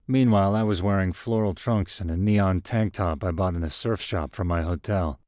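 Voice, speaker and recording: Guy narrating a short story in first person, lowish voice, close dry recording. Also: moderate pace, darkish tone, no room sound.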